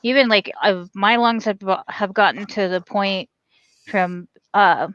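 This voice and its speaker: Woman, mid pitch, dull lo-fi dry mic, giggly, profane co-host. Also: a little slow, clear, resonant tone, no echo. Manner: animated, laughing, swears casually. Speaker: podcast co-host, veteran. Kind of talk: casual chat.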